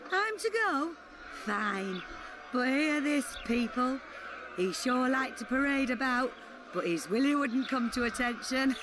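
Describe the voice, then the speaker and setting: A woman's voice: sits high, expressive, measured pace, background noise. An actress playing a bawdy, defiant brothel woman in a video game, drawling mocking taunts.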